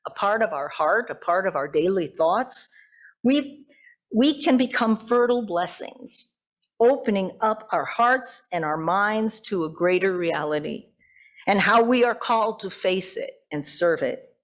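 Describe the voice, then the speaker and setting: A woman pastor giving a sermon in deliberate, emphatic phrases, often posing rhetorical questions. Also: mid-range voice, animated.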